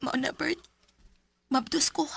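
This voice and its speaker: A woman's voice: sits high, steady tone, takes it slowly, gravelly, fairly bright tone, heavily acted. An actress with a Cebuano Filipino accent playing a wronged, emotional young pregnant woman in a radio drama. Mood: anxious. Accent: Filipino, Cebuano-speaking. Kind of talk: Bisaya radio drama acting.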